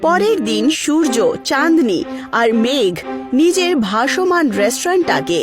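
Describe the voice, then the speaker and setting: A woman's voice: sits high, very animated, a little slow. A woman narrating a scripted cartoon story as its storyteller. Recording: music behind the voice.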